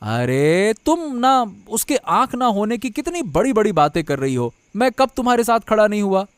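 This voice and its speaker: A man's voice: high voice, very animated, normal speed, clear, resonant tone, dry recording. A man voicing an earnest, devoted young husband in a cartoon, speaking emphatically and repeating himself. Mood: defiant, exasperated. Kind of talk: Hindi cartoon dialogue acting.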